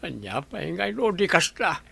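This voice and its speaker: A man's voice: high-pitched, very animated, moderate pace, vocal fry, pushed and bright, slightly rough, no room sound, full character voice. A man playing a worried, questioning elderly grandfather in an audio drama, with an Ilocano Filipino accent. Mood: conversational. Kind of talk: amateur audio drama acting.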